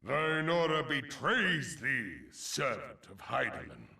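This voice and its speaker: An actor playing an ancient, stern forest guardian god in a fantasy game, speaking in solemn, grandiose archaic language with a gravelly voice. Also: male voice, medium pitch, sing-song, slow pace, bright, forceful tone, exaggerated voice. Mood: stern, accusing. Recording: echoing, distant from the mic.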